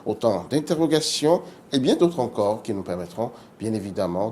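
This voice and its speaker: Male TV host, Beninese French accent, lowish pitch, interview moderating over audible noise. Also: darkish tone. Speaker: TV show host. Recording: clear background noise.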